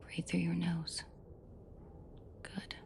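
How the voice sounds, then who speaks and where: A woman performs a mafia boss in an intimate roleplay drama, her voice low and heavy with vocal fry. She speaks very slowly and with tight control, commanding and menacing, yet tender and protective underneath. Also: completely flat delivery, darkish tone, exaggerated voice. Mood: gentle, commanding. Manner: controlled, commanding, slow and deliberate, often dropping to whispers. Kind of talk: scripted roleplay audio drama, intimate direct address to listener.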